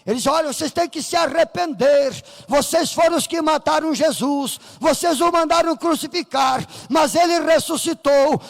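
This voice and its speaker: Fervent Brazilian pastor preaching, high gravelly voice. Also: male voice, moderate pace, few pauses. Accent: Brazilian Portuguese. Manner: fervent preaching, rising to shouts, acting out voices in the story. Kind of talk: Pentecostal sermon. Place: church sanctuary. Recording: reverberant church hall.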